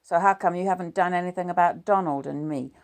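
A woman voicing a distraught mother who turns sharp and accusing, her voice yelping into shouts. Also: fast talker, close to an everyday voice. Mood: bitter, pleading. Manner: shrill, breaking into yells.

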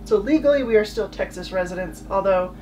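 A woman's voice: medium pitch, fairly quick, warm tone. A woman in her thirties from Arizona and Mexico, a full-time RVer chatting cheerfully as an interview guest and laughing often. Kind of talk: casual interview chat. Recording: distant mic, background hum.

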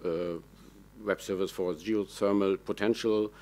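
Low-voiced man presenting slides. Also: fairly even intonation, a little slow, darkish tone. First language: German. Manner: fillers and self-corrections. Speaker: conference presenter. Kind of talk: conference presentation. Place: large dark conference hall.

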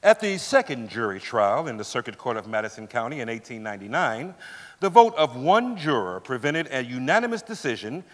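A man's voice: medium pitch, very animated, fairly quick. Male stage narrator, echoey hall. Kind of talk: formal scripted narration. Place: large theater stage.

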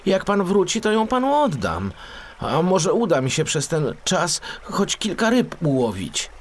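Man voicing a meek, high-pitched pleading poor fiddler. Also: huge pitch swings, measured pace, exaggerated voice. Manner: meek, beseeching. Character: humble, desperate, pleading poor street fiddler.

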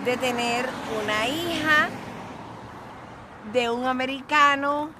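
A woman's voice: high-pitched, takes it slowly, noisy background. A middle-aged Cuban-born woman vlogging outdoors into a phone held at arm's length, with a theatrical, self-praising, sing-song manner. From Cuba. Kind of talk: casual self-filmed vlog. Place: outdoors, by a grassy lot.

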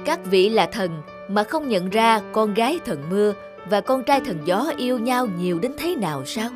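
A woman's voice: medium pitch, animated, normal speed, lightly acted. A solemn god of love from a cartoon fairy tale, acted by a woman, declaims with reproach. Faint music plays behind. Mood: reproachful.

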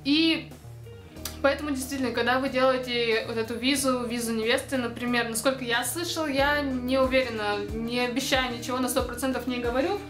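A young woman chats casually to her camera, rambling from point to point with plenty of fillers. Her voice sits slightly high. The room is echoey, with music playing clearly behind her. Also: steady tone, a little fast, fairly bright tone. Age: young adult. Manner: chatty, rambling, with frequent fillers and laughs. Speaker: vlogger. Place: dark indoor room. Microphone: camera close to face.

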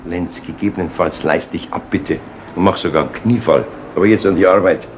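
An actor playing a middle-aged Munich chief inspector in a crime radio play, with a Bavarian accent and a dry, ironic, courteous authority. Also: male voice, low voice, brisk, lightly acted. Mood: wry. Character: wry, unhurried male Munich police chief inspector. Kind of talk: 1970s German crime radio play acting. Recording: noisy old 1970s radio recording.

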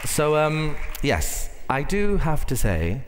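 A man in his thirties performing stand-up comedy on stage, telling stories in an animated way with pauses and asides. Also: fairly high pitch. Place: large indoor convention stage. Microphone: headset. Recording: reverberant hall, clear background noise.

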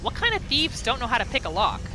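A woman's voice: on the high side, wildly swooping pitch, fast talker, background noise. A woman giving reactive gameplay commentary, exclaiming and thinking aloud as she plays through a roleplay. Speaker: gamer narrating a roleplay playthrough.

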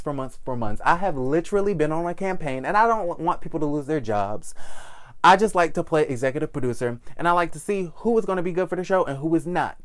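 A young man hosting a celebrity gossip vlog, sassy and animated, seated in a small room. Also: a little high. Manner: sassy, animated, lots of "okay?" asides. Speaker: host. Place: small indoor room with curtains.

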